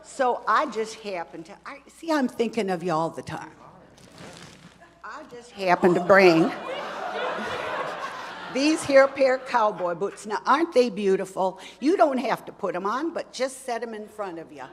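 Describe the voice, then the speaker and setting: A woman doing a folksy church lady who drawls and teases as she hands out gifts, chatty and full of fun. Her voice sits at a middling pitch. It rings out in a reverberant church hall. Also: expressive, unhurried, close to an everyday voice. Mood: playful teasing. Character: folksy Texan church lady, gift-giving and teasing. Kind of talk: comedy skit performance. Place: church sanctuary.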